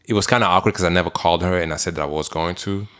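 A man's voice: deep voice, racing. A young man from Belgium, co-hosting a casual podcast chat and telling stories with acted-out dialogue. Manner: animated storyteller, acts out other people's lines. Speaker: podcast co-host.

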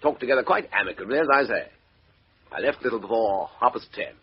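An actor playing a blustering, indignant English army major under questioning in a 1950s radio drama. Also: male voice, mid-range voice, expressive, fast talker, husky. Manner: blustering, indignant, rising to panic. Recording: dull lo-fi old radio recording.

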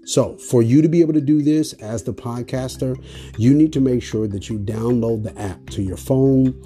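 A male podcast host with a mellow, relaxed tone, speaking over music. He talks earnestly and emphatically, circling back on his phrases to drive them home. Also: mid-range voice, moderate pace. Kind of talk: podcast intro and conversation.

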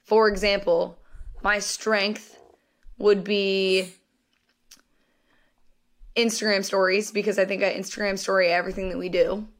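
A woman with a raspy voice co-hosting a podcast, chatting casually and tossing in quick asides. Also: medium pitch, level tone. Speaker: podcast co-host. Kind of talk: casual sports chat.

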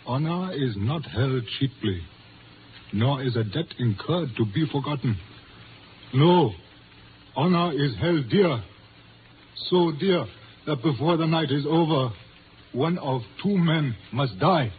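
A muffled, lightly noisy old radio recording carries a proud older Chinese patriarch. His voice sits fairly high for a man. He speaks with stately, formal gravity, every phrase measured. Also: animated. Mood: stern, commanding. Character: proud, solemn older Chinese father and community leader. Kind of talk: 1950s radio drama acting.

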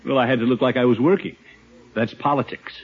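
An actor playing a pompous, blustering middle-aged American uncle in a 1950s radio comedy. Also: male voice, medium pitch, steady tone, fast talker, lightly acted. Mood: mildly annoyed. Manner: pompous, theatrical chuckles and bluster. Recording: muffled old 1950s radio recording.

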